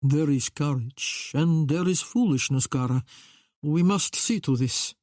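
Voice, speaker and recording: A protective Italian gentleman from a historical audiobook, voiced by a woman narrator. He speaks with an Italian accent in a low, husky voice, grave, measured and cautious. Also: no room sound, studio quality. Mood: cautioning. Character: tall Italian man, protective, cautious courtier friend.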